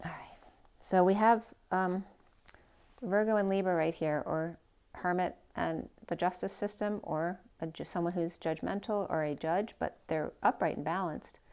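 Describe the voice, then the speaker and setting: A woman's voice: on the low side, a little slow, a little mellow. A woman giving a casual tarot reading who is losing her voice. She thinks aloud, trails off and restarts her sentences. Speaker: tarot reader.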